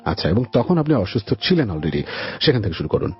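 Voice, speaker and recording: A man's voice: fairly low pitch, big pitch swings, fast talker. A man with a Bangladeshi accent hosting a radio show, presenting to listeners and questioning his guest. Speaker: radio host. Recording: muffled radio audio with music behind. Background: background music.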